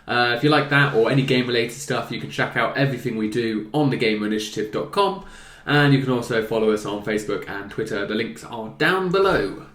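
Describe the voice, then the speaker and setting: A man's voice: medium pitch, lively intonation, fast, few pauses, fairly bright tone. A hungover man in his twenties hosting a casual gaming news chat, jokey and teasing with his co-hosts. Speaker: host. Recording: roomy echo.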